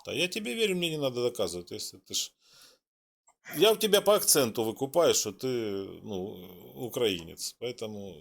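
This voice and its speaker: A man from Donetsk hosting a chat roulette talk, challenging and mocking with quick retorts over a video call. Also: mid-range voice, big pitch swings, gravelly. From Donetsk, Ukraine. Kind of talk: confrontational casual chat.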